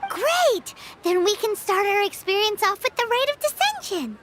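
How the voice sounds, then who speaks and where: A woman voicing a tiny, chirpy, childlike fairy companion in a video game, with a squeaky voice. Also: big pitch swings, moderate pace, heavy background noise. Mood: eager. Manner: chirpy, refers to self in third person. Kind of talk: video game character dialogue.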